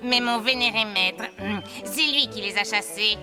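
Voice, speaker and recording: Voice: mid-range voice, normal speed, natural voice. A performer voicing a clever, boastful talking cat with courtly, theatrical manners in a French children's cartoon. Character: clever talking cat, boastful smooth-tongued royal envoy. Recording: music behind the voice.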